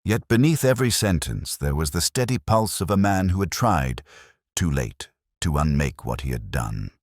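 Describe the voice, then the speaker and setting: A man narrating a first-person detective story in a measured, brooding, literary manner. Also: low-pitched, lively intonation, no echo, clean studio sound.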